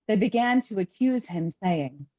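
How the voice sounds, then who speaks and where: Mellow woman reading scripture, muffled recording. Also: mid-range voice, animated, measured pace. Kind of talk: dramatic scripture reading for worship. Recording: dull, lo-fi sound.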